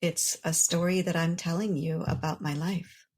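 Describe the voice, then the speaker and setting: Woman guest, native Urdu speaker, slightly low voice, unhurried and reflective. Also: fairly even intonation, a little mellow. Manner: thoughtful, measured, explanatory. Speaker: author and guest. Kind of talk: reflective interview answers.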